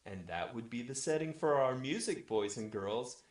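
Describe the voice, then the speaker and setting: A man's voice: medium pitch, lively intonation, moderate pace. A man telling a scripted comic story in the first person, with a mock-formal bedtime storyteller delivery. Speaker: storyteller, webcast regular. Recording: roomy, echoey voice recording.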